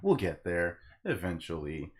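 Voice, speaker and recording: A man's voice: low voice, huge pitch swings, a little slow. A man hosting a casual podcast, talking earnestly and at rambling length to his listeners. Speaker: podcast host.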